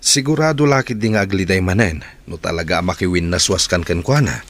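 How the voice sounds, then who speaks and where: A man's voice: sits low, a little fast, a bit bright. An actor with a Filipino Ilocano accent playing a man who earnestly advises and stands up for a friend in an audio drama. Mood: grave, concerned.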